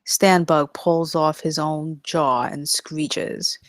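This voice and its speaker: A woman's voice: low voice, steady tone, takes it slowly. A woman narrating scripted stage directions at a live comedy table read.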